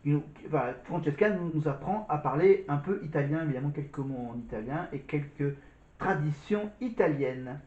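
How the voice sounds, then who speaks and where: A man's voice: a little high, animated, normal speed, a little mellow. A man giving casual commentary on a reality show, rambling and repeating his filler words. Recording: roomy, muffled recording.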